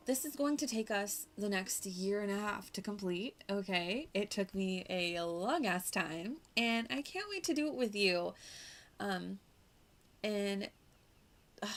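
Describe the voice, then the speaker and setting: A woman's voice: medium pitch, measured pace. A woman livestreaming a video game with casual commentary, in a playful, gushing and informal manner. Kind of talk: casual live gameplay commentary. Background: music.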